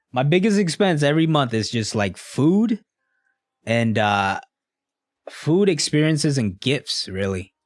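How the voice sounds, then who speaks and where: A man's voice: mid-range voice, dry recording, clean studio sound. A young man casually chatting with a live stream audience in a laid-back, slangy, rambling way. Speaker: streamer answering live chat.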